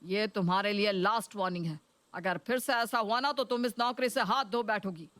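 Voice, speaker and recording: Woman putting on a stern, forceful office boss. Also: mid-range voice, moderate pace, dry recording, natural voice. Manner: scolding, sarcastic. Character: stern, sarcastic office boss scolding staff. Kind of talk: Hindi cartoon story voice acting.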